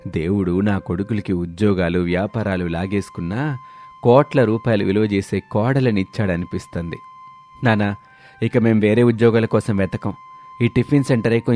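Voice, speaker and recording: A man's voice: lowish voice, darkish tone, close to an everyday voice. A man voicing a grateful family man in a moral story, speaking earnestly and emphatically. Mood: moved.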